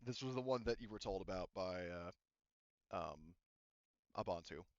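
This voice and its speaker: A man with a smooth, slightly low voice works as game master in a tabletop session. He improvises his narration in a casual, halting way. The recording is close and dry but a little dull. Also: animated, dry recording. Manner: halting, searching for words.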